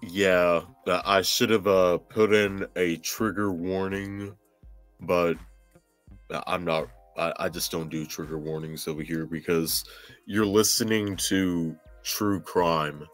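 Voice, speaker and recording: A man with a very low voice talks at an unhurried pace over faint music. He hosts a casual true crime podcast, halting and thinking aloud as he goes. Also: steady tone. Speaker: podcast host.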